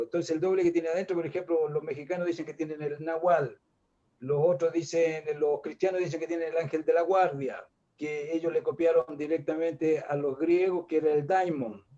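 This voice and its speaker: A man's voice: a little high, dark tone, muffled recording. An older Chilean man talking in a reflective, rambling way, with many restarts and fillers. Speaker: guest artist. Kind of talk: casual panel discussion.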